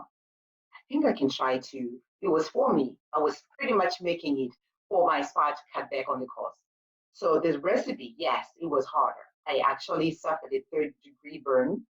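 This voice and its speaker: A woman's voice: very deep voice, distant mic, dull audio. A woman from Zimbabwe, a spa owner, answering interview questions in an earnest storytelling way with frequent fillers. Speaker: guest, spa owner and sugar paste maker.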